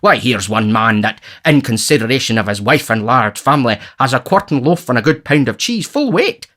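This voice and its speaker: A man voicing a pompous, self-important middle-aged parish beadle in an audiobook, lecturing loudly. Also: mid-range voice, expressive, fairly quick, voice pushed up. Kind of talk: audiobook character voice.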